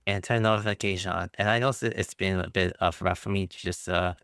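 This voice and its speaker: Deep, rough-voiced male game streamer. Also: steady tone, a bit bright, dry recording, clean studio sound. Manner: halting, rambling. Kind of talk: casual livestream chat. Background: game music.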